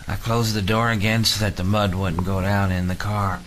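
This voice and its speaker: A man with a fairly low voice narrates a dramatic story in the first person. Rain and faint noise sit behind him. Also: fairly even intonation, normal speed, rough recording. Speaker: audiobook narrator.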